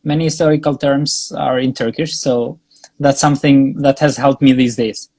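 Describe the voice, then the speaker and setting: A young Albanian man speaking English with an Albanian accent as a guest in a casual interview, pausing often with fillers and restarts. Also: medium pitch, fairly even intonation, darkish tone, no room sound. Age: young adult. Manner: halting, many fillers and restarts. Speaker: guest, graduate student.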